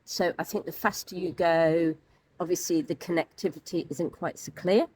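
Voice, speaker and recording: A woman's voice: on the low side, steady tone, normal speed, darkish tone, husky, no room sound. A woman giving a candid, practical review in a casual, conversational style as a co-host. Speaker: vlog co-host and product reviewer.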